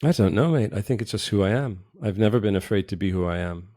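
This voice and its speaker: A middle-aged man from Israel, a podcast guest, talking casually in a direct, reflective way with pauses and restarts. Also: on the low side, mellow, dry recording, studio quality. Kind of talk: casual interview talk. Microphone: remote call.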